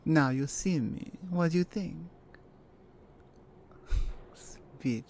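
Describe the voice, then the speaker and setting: A woman voicing a seductive, menacing Russian crime family member with a Russian accent, teasing and commanding in an intimate ASMR roleplay, her breathy murmur sliding into whispers. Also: low voice, dark tone, slight background noise. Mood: teasing, confident. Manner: slow, deliberate, dominant and teasing.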